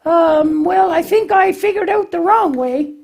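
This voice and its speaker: A man's voice: extremely high pitch, a little slow, creaky, a little mellow, pitched above the performer's own voice, heavily acted. A man voicing a naive, eager, bumbling young lamb puppet in a children's show, with a hesitant, folksy, childlike way of explaining. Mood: sheepish.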